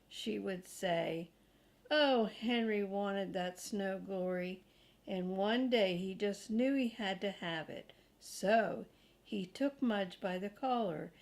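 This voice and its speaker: Slow-paced older woman reading a storybook. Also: medium pitch, a little mellow. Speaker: grandmother reading aloud to children. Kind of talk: storybook read-aloud with casual asides.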